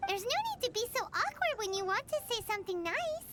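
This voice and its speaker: A tiny, blunt fairy companion, in a very high, childlike voice that bounces up and down. She calls herself by name and chirps her remarks. Loud game music plays under her. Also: very animated, brisk. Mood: teasing. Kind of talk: video game dialogue.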